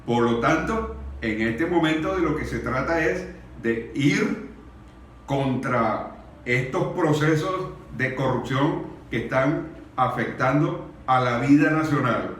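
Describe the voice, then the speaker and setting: A Venezuelan man giving an earnest, deliberate and emphatic public speech in Spanish, pausing between short phrases. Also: mid-range voice, lively intonation, fairly bright tone. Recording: very echoey room, distant mic, faint noise.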